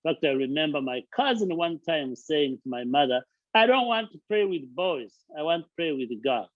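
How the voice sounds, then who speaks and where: A man's voice: a little high, animated, normal speed, no room sound, phone-quality sound. A man hosting his channel, giving an earnest motivational talk, stressing points by listing and repeating words. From Uganda. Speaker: charity founder and channel host. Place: friend's house, indoors.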